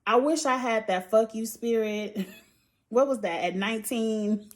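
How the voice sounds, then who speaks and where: A woman with a noticeably high voice chats freely and bluntly about a reality show, sighing and laughing as she goes. Her delivery is animated and conversational, like a talkative vlogger speaking straight to her viewers. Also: unhurried. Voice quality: high-pitched voice. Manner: animated, outspoken, frequent laughs and sighs. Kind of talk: casual opinionated chat.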